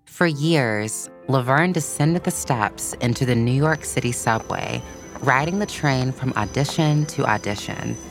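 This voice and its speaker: A woman's voice: rumbling low, a little slow, creaky. A woman reading a scripted children's story as a narrator. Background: music.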